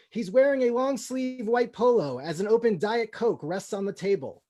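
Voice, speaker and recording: A man's voice: high voice, a little mellow. A man hosting a comedy table read, switching between casual chat and scripted stage-direction narration.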